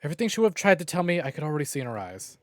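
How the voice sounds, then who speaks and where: A man's voice: a little high, racing, no echo, clean studio sound. Man narrating a visual novel aloud. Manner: dramatic expressive narration. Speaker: streamer. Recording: game music playing behind.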